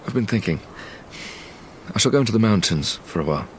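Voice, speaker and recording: An actor playing a tormented, guilt-ridden young British gentleman scientist in an audio drama, speaking gravely and with literary care. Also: on the low side, animated, fast, a little hiss or hum, lightly acted. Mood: resolute. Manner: grave, brooding, literary delivery. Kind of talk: audio drama narration and dialogue.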